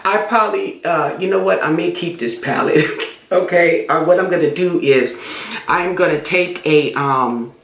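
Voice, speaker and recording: A woman's voice: on the low side, measured pace, echoey room. A middle-aged woman chatting casually while doing her makeup for a beauty vlog, repeating herself as she goes. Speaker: beauty vlogger. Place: small room indoors.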